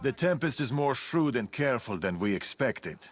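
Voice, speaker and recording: An actor playing a shrewd, guarded older warrior father in a video game, speaking tersely and gravely. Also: mid-range voice, sing-song, normal speed, faint music behind. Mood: grave, determined. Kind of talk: cinematic game dialogue.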